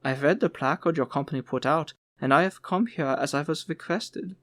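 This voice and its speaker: An earnest young man in a storybook, voiced by a male reader, polite and full of curiosity. The voice sits a little high, in a dry recording. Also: moderate pace, fairly bright tone, dry recording, close to an everyday voice. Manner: earnest, questioning. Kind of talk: audiobook character voice.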